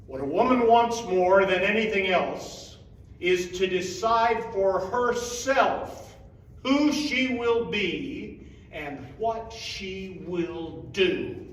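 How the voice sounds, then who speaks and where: A young king speaks with earnest, measured solemnity, as a man acts him out. The voice sits high and moves slowly. It sounds distant, with heavy room echo. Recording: echoey room, mic far off.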